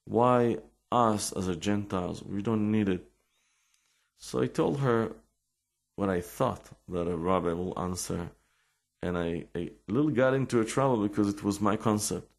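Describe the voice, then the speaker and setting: Slow, gravelly Israeli man. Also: fairly low pitch. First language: Hebrew. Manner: halting, many restarts and fillers. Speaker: rabbi telling his life story. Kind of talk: personal storytelling and explanation.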